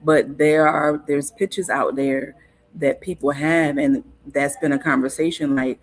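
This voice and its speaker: A woman's voice: low voice, fairly even intonation, unhurried, poor audio. A woman from South Carolina, a podcast guest telling stories in casual conversation, thoughtful and often stopping to restart with ums. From Hilton Head, South Carolina.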